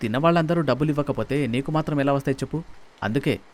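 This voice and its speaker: A man's voice: medium pitch, rapid-fire, darkish tone, lightly acted. A man acting a worried, considerate family man in a moral story, speaking in an earnest, insistent way. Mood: earnest, persuasive. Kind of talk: dramatized moral story dialogue.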